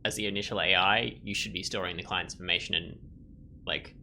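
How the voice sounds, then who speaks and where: A man's voice: deep voice, fairly even intonation, brisk, creaky, sharp tone, slight background noise. A man giving casual let's play commentary, thinking aloud in a rambling, musing way. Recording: faint game music behind.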